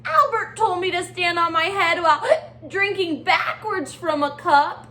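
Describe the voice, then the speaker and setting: A woman's voice: very high-pitched, measured pace, sharp tone, exaggerated voice. A woman voicing a small, anxious young penguin from a children's storybook, childlike, whiny and dramatic. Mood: whiny, exasperated. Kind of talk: children's storybook read-aloud.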